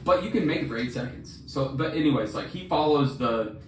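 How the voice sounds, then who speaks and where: A man's voice: mid-range voice, lively intonation, fairly quick. A man co-hosting a podcast, chatting casually about books in an enthusiastic, slangy, emphatic way. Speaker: podcast co-host. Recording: roomy echo, distant mic, faint noise.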